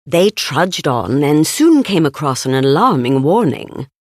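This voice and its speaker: A woman's voice: deep voice, sing-song, a little slow, dry recording, studio quality. A woman narrating a children's story, reading expressively from a script as a storybook narrator.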